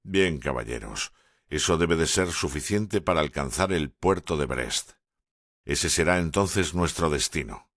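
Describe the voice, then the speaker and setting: A man voicing a middle-aged naval lieutenant, calm and commanding, in measured, grave storytelling. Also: a bass voice, no echo, clean studio sound, exaggerated voice. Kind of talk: audiobook character dialogue.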